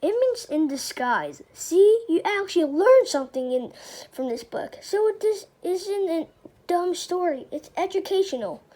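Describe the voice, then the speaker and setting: A woman's voice: very high-pitched, very animated, a little slow, warm tone. A female reader reading a children's chapter book aloud, often stumbling over words and going back over phrases.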